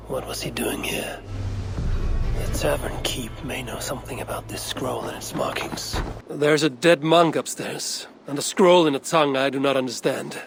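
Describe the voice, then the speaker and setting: A man's voice: medium pitch, vocal fry. A man playing a stern, steadfast Viking warrior in a dramatic video game, blunt and restrained. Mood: muttering, grim.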